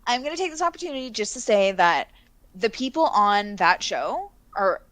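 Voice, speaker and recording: A woman's voice: mid-range voice, big pitch swings, normal speed, fairly bright tone, no echo. A woman in her thirties from Canada with a Canadian accent, co-hosting a live stream in casual chat, talkative with frequent fillers and laughter. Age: 30s. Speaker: co-host of a missing-persons livestream.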